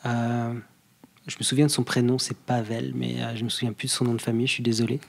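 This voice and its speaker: A man chats as a podcast guest. His voice sits in an ordinary middle pitch. He talks freely but stops often with fillers and restarts as he searches for words. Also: level tone, fast, creaky, breathy edge. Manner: hesitant, many fillers and restarts. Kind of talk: casual conversation.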